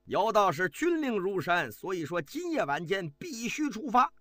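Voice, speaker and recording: A man's voice: high voice, very animated, moderate pace, pushed and bright, no echo, voice pushed up, heavily acted. A man doing a stern commanding general. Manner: commanding, severe. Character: stern male general giving harsh orders.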